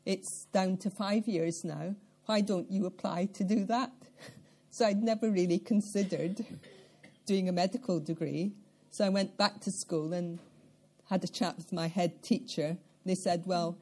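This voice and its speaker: An older Scottish woman speaks in a lowish, mellow voice. She tells her story in a measured, reflective way, taking her time between thoughts. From central Scotland. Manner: measured, reflective, with occasional soft laughs and throat clearing. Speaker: interviewee. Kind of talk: life-story interview.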